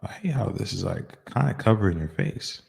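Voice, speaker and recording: Brief: male host, very low gravelly voice, easygoing rambling chat. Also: animated, a little fast, darkish tone. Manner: laid-back, rambling, many fillers. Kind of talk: casual reaction chat.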